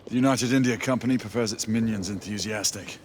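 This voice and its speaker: A man acting as a weary veteran knight in a game cinematic, with a low voice. He speaks formally and dryly, with courtly wit and understatement. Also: fast, a little hiss or hum, lightly acted. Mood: wry banter. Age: older.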